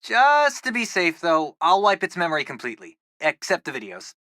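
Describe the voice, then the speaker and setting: An eager, geeky American teenage boy who serves as a superhero's tech helper in a video game. His delivery is informal and quippy, with animated swings in pitch and a bright, forward tone. The sound is very dry, with no room around it. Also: moderate pace, no echo, exaggerated voice. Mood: focused, curious. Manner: informal, eager, nerdy tech talk with quips. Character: geeky, excitable teenage boy hacker, loyal superhero sidekick. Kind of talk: video game voice acting, casual radio-style chatter.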